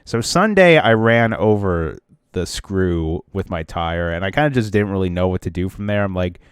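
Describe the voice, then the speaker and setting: Deep-voiced congested man rambling. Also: lively intonation, normal speed, clean tone, no room sound, studio quality. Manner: rambling storyteller who often restarts and trails off. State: congested, stuffy nose. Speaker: podcast co-host. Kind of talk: casual podcast chat.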